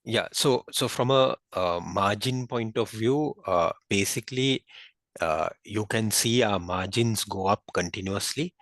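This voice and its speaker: Sri finance guy on an investor call, lowish voice, halting and measured, dry sound. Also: fairly bright tone, no echo. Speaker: finance executive moderating investor questions. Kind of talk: unscripted business earnings call.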